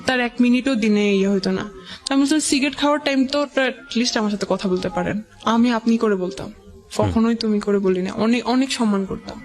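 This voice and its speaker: A woman with a Bangladeshi accent telling her personal story as an interview guest, haltingly, often quoting past conversations. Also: on the high side, a little mellow. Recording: music playing behind the voice.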